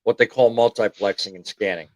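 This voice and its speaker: A man hosting a live-streamed call-in chat show, explaining technical details at length and chiming in often with quick agreement. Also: fairly low pitch, steady tone, no echo. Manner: explains technical points at length, frequent "yeah". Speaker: show host.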